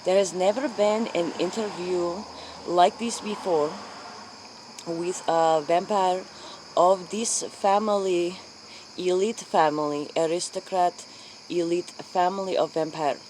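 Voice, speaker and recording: A woman playing a solemn late-night interviewer, speaking formally and haltingly, often repeating herself. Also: lowish voice, fairly even intonation, darkish tone, slight background noise, poor audio. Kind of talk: improvised spoof interview in character.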